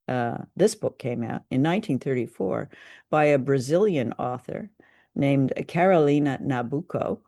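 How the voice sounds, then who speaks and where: A woman co-hosting a conversational review show, speaking in measured, hesitant phrases. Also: extremely low pitch, dark tone, dry recording, clean studio sound. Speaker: podcast co-host. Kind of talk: conversational radio drama review.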